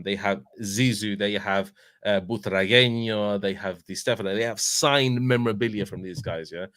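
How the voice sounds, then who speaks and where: A man in his thirties with a London accent, chatting casually as a fan channel host, telling animated stories with lots of filler tags. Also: fairly low pitch, no room sound. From England. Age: 30s. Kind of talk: casual chat.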